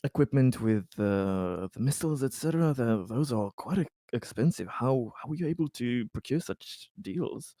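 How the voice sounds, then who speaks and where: A man's voice: medium pitch, animated, moderate pace, few pauses, mellow, no echo, clean studio sound. A man improvising in character at a tabletop game, courteous and stammering over his words. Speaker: tabletop roleplaying player. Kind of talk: casual improvised in-character conversation.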